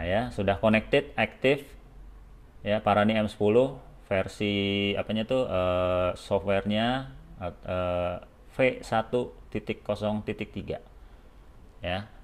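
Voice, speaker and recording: A man's voice: on the low side, takes it slowly, long pauses. A man. He presents a product tutorial in a casual, hands-on way. His delivery is informal, moving step by step with little check-in tags along the way.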